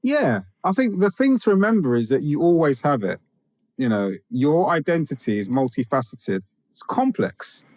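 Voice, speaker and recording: A man's voice: a little high, big pitch swings, normal speed, darkish tone, no room sound. Reflective man from England, dry studio sound. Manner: reflective, with restarts and rising emphasis. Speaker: guest, author and teacher. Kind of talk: conversational interview.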